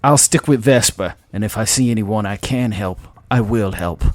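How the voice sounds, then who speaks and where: A man's voice: lowish voice, moderate pace, no room sound, lightly acted. A cocky male mech pilot, played by a man narrator in a sci-fi audio story. He is blunt and easygoing, speaking plainly to his crew. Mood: resolute. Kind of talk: audio story character dialogue.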